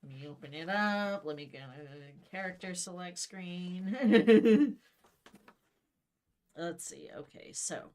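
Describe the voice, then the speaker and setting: Woman, slightly low pitch, livestream co-host, storybook read-aloud, wry conversational delivery. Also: slow pace, darkish tone.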